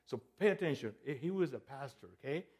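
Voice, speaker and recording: A man's voice: mid-range voice, animated, fast talker, darkish tone. Guy guest preaching, jokey and chatty, keeps restarting and going "okay?". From El Salvador. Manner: playful, many restarts and "okay?" checks. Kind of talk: joking conversational sermon.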